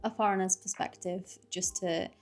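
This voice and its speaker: A young woman from Liverpool with a Liverpool accent, chatting casually to camera in a relaxed vlog, with lots of fillers and laughter. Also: lowish voice, moderate pace, soft background music. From Liverpool, England. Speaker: vlogger.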